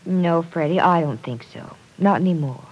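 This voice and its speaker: An actress playing an earnest, stubborn young woman in an old-time radio serial, expressive and gently insistent. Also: lowish voice, moderate pace, natural voice, poor audio. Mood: earnest, defensive. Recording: vintage radio drama recording.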